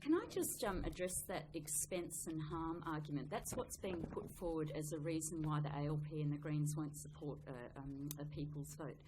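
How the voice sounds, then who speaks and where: A woman's voice: sits low, moderate pace, hardly any pauses. A woman speaking on a public debate panel, arguing in a conversational, rephrasing way into an event microphone. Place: public meeting room. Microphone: event microphone, sometimes off-mic. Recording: noisy live room, uneven microphone level.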